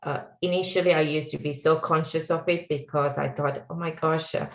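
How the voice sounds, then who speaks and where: A woman's voice: sits low, fairly even intonation, brisk, reverberant. A middle-aged woman with a Sri Lankan accent, speaking as a guest on an online panel in an earnest, reflective way with many fillers. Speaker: guest speaker, tech executive.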